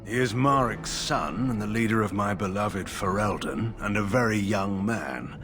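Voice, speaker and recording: An actor playing a stern, proud, middle-aged general in a video game, formal and condescending. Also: male voice, on the low side, normal speed, music in the background, natural voice. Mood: stern, dry. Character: stern, proud, skeptical male general and nobleman. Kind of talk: video game character dialogue.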